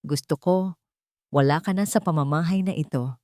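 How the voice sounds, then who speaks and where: A woman playing a cold, stern executive who speaks in measured, commanding words in an audio drama. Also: sits low, darkish tone, dry recording, clean studio sound, lightly acted. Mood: icy judgement.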